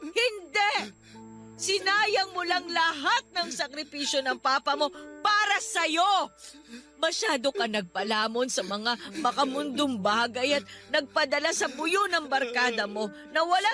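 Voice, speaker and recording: A woman's voice: sits high, sharp tone, full character voice. A woman playing a stern, hurt older nanny in a Filipino radio drama, emotional and reproachful as she preaches to her grown ward.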